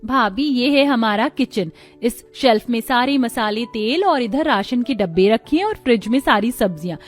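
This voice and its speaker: A woman's voice: a little high, animated, normal speed, faint music behind, close to an everyday voice. A woman playing a polite, helpful young sister-in-law in a cartoon.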